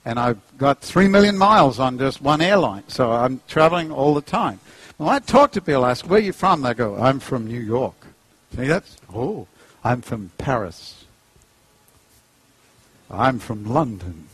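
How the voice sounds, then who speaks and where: A middle-aged man with a New Zealand accent preaching an animated, humorous sermon, jumping into playful voices. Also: a little slow, breathy edge, no room sound, rough recording. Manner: jokey, acts out characters, pitch leaps high.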